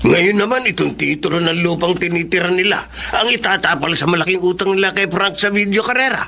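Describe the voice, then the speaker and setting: Casting: stern middle-aged uncle, radio drama; high, forceful, accusing voice. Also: male voice, fairly quick, heavily acted. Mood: exasperated. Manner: gruffly scolding, accusing. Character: stern, suspicious uncle, threatening his scheming nephews.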